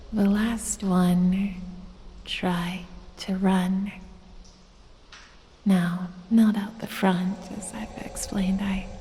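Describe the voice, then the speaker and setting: A sinister female lamia, acted by a woman murmurs in a breathy, mellow whisper. She draws out each phrase extremely slowly, with false sweetness and possessive menace. Long cavernous reverb trails every word of this audio roleplay. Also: mid-range voice, slight background noise. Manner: drawn-out, softly taunting, falsely sweet, possessive. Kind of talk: ASMR audio roleplay, slow whispered monologue.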